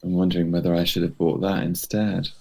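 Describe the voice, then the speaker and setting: A man's voice: sits low, level tone, brisk, gravelly, darkish tone. A man co-hosting a podcast, chatting casually, reacting and asking questions. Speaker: podcast co-host.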